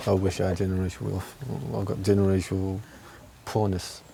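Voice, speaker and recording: Man, lowish voice, casual candid interviewee, emphatic repeated phrases, conversational check-ins, outdoors. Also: little pitch movement, fairly quick, creaky, dark tone, a little hiss or hum. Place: outdoors on a park bench.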